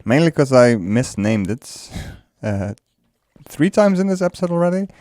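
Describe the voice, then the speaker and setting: A man's voice: mid-range voice, sing-song, moderate pace, darkish tone, dry recording, clean studio sound. A man whose first language is Dutch, casually commenting while he builds in a game, musing aloud and laughing often. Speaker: let's play creator.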